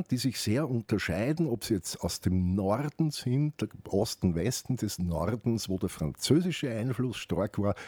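A man hosting a radio show, explaining things off the cuff in a halting, reflective way with asides. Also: lowish voice, a little mellow, husky, no echo. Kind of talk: unscripted spoken radio commentary with explanations.

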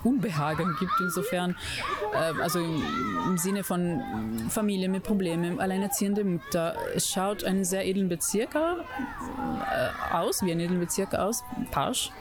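Italian-accented woman, halting speech. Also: on the low side, heavy background noise. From Italy. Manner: searching for words, many fillers and restarts. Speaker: puppeteer and interviewee. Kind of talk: interview answers.

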